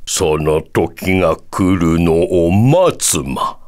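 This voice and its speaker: A man voicing a wise, proud elderly bear-like sage in a video game, measured and calm, ending sentences with a quirky verbal tic. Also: low voice, heavily acted. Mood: wise, musing. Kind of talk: video game character voice acting.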